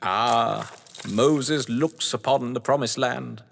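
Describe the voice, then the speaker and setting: An actor playing an older, upper-class British crime novelist, scheming and sardonic, in florid, pompous stage-drama style. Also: male voice, medium pitch, takes it slowly, gravelly, a little hiss or hum. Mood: wry, relishing. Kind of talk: stage-play audio drama acting. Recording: echoey hall reverb.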